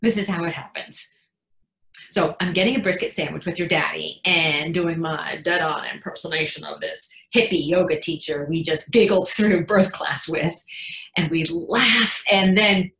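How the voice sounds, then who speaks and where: A woman acting a tender, excitable new mother in her thirties, telling her baby a story in an intimate, halting theatrical monologue. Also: lowish voice, vocal fry, reverberant. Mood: tender, reminiscing. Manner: emotive, halting, intimate storytelling with dramatic swells.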